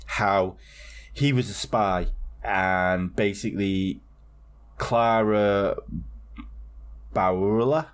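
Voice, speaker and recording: A man's voice: on the low side, fairly even intonation, extremely slow, pauses often, clear, resonant tone. Rambling, swearing man. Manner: rambling and swearing, with many restarts. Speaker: podcast co-host. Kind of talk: casual chat.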